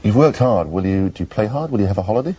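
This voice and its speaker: A man's voice: on the low side, expressive, racing, mellow, husky. Playful, chatty male TV interviewer. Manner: playful, chatty, theatrical asides. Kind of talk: breakfast TV interview. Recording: dull lo-fi broadcast tape.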